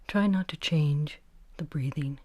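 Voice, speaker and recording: A woman guiding a meditation, giving gentle, unhurried instructions in short phrases with pauses between them. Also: sits low, no echo. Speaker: meditation guide. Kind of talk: guided meditation narration.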